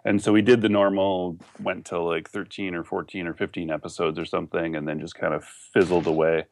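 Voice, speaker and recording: A Canadian man with a fairly low voice, recorded close and dry. He talks as an interview guest in a casual, loosely rambling way, like someone telling his story over coffee. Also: little pitch movement, a little fast, dry recording. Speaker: podcast guest, online entrepreneur.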